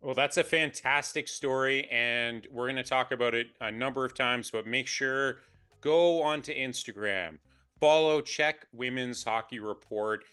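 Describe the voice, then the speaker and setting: A man hosts a casual interview with upbeat enthusiasm. His voice sits in a middle pitch and keeps a friendly, energetic pace. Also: a little slow, smooth, faint music behind. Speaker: podcast host.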